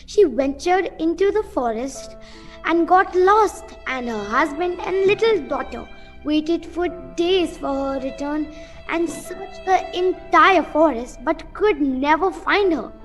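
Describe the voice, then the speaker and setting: A nervous, defiant village mother played by a woman in an amateur radio drama. The high voice moves slowly and haltingly, polite and proper, with faint music behind it. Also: animated, exaggerated voice. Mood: grave, defiant. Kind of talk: amateur radio play acting.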